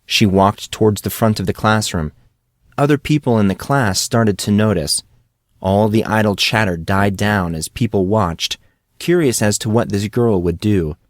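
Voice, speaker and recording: Man, audiobook narrator, scripted fantasy storytelling, low pitch, dry recording. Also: moderate pace, no echo.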